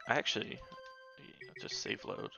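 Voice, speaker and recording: A man giving casual live commentary as he speedruns a video game, often muttering asides to himself. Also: normal speed. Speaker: speedrunner streamer. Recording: loud game music behind voice. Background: loud video game music.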